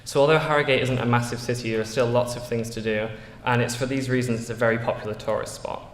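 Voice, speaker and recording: A man's voice: medium pitch, steady tone, fast. A teenage boy from England with a Yorkshire English accent, giving an earnest prepared school speech with small slips and fillers. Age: teens. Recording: echoey hall, long reverb.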